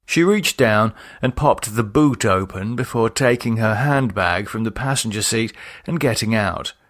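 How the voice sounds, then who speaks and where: A man's voice: on the low side, expressive, unhurried, a bit bright, dry recording, studio quality. A man giving a scripted, dramatic narration of fiction as an audiobook reader.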